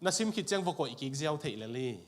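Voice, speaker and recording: A man's voice: a little high, sing-song, quick pace. A man interpreting a sermon phrase by phrase in a church, repeating each line right after the preacher. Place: church sanctuary.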